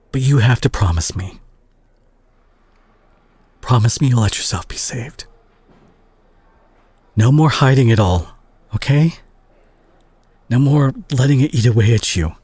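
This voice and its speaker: Casting: male actor as tough brawler hero with a tender streak, very husky and breathy, widely swinging pitch, earnest audio-roleplay delivery, dry close sound. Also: a little mellow, dry recording, full character voice. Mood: gentle, resolute. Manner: earnest, emotional, halting delivery with sighs. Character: gruff brawler superhero, male, fiercely protective, guilt-ridden and tender. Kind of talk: dramatic intimate audio roleplay monologue.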